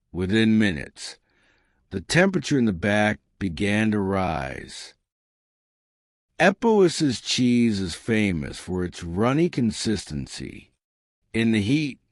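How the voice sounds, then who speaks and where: A man narrating a scripted comic story with dramatic, deadpan delivery and deliberate pauses. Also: fairly low pitch, no echo, studio quality.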